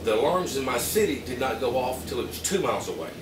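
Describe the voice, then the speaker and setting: Echoey room, distant mic, man, Arkansas Southern accent, mid-pitched voice, halting plain-spoken vlog review. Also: sing-song. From Arkansas, United States. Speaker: vlogger reviewing emergency gear. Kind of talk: casual product review to camera. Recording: roomy, distant mic with background noise.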